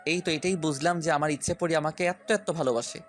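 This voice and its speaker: Woman playing a low-voiced teasing young lover man. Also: a little fast, faint music behind, natural voice. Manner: playful, smug, tender declarations.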